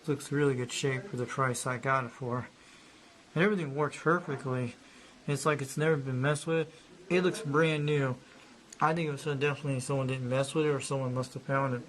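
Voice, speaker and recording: A man's voice: medium pitch, fairly even intonation, brisk, low-quality recording. A man narrating casually as he shows off an old radio, rambling and repeating himself. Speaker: hobbyist showing a radio. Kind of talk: casual show-and-tell narration.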